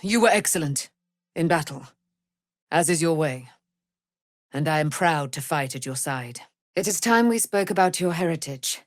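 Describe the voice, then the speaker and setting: A woman's voice: deep voice, expressive, moderate pace, pauses often, no echo, clean studio sound. A woman playing a proud, noble immortal warrior in a fantasy video game, with grand, archaic, theatrical speech. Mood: solemn, earnest.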